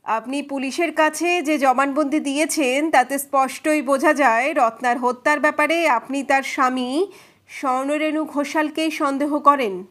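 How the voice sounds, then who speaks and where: Lady doing a cool, polite detective in an audio mystery, measured questions, never rattled, high voice. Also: steady tone, fairly bright tone. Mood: composed. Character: calm, probing male private detective, politely unruffled. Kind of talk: dramatized detective audio story.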